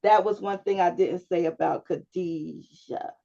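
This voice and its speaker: A woman's voice: fairly low pitch, unhurried, gravelly, warm tone, dull audio. A woman public speaking instructor giving one-on-one feedback to a student over a video call, often checking in with "right?". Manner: coaching, checks understanding with frequent "right?".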